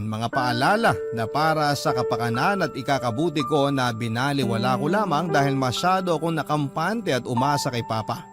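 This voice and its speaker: Guy acting sad young man telling his regrets, radio drama narration, mid pitch, earnest, really loud music behind. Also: close to an everyday voice. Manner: sorrowful, earnest.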